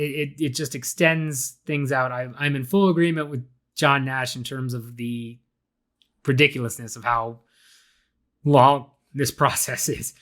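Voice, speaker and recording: A young adult man hosting a solo podcast, talking casually with lots of fillers and restarts, his voice a bit raspy from being ill. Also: medium pitch, fairly bright tone. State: slightly raspy from illness. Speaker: podcast host.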